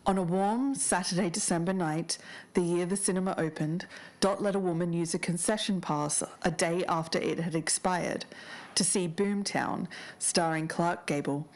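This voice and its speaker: A woman's voice: on the low side, normal speed, darkish tone. A woman co-hosting a podcast, moving between scripted narration and casual chat. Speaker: podcast co-host.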